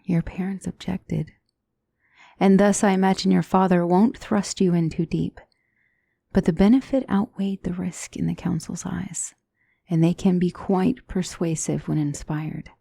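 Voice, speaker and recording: Soft, low-voiced demonic tutor, acted by a woman. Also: vocal fry, breathy edge, no room sound, heavily acted. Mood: grave, matter-of-fact. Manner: formal, measured, reassuring diction. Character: gentle demonic tutor, female mentor to a young royal. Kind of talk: soft ASMR fantasy roleplay.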